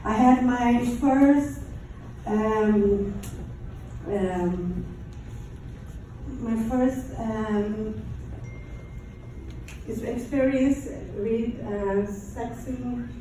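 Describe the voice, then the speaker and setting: A woman from Brazil with a Brazilian Portuguese accent gives a personal testimony to an audience, haltingly and in non-native English. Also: on the high side, dark tone. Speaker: survivor giving testimony. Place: large echoey room, before an audience. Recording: very echoey room, distant mic, faint noise.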